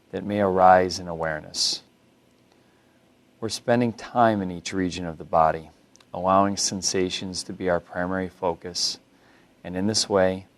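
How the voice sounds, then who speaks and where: A man with a low-pitched voice guides a body scan meditation. He speaks as a mindfulness instructor, calm and unhurried, gently instructing the listener.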